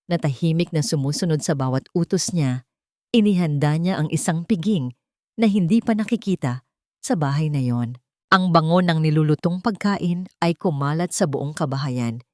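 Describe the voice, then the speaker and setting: A woman reading a scripted dramatic story in measured, suspenseful phrases. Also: low voice, a little mellow, no echo, clean studio sound.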